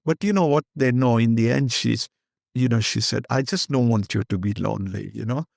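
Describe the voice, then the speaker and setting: A man's voice: medium pitch, fairly quick, creaky, darkish tone, no echo, studio quality. An older man with an Indian accent, a guest speaking candidly and rambling in an interview, slipping Hindi phrases into his English. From Kenya.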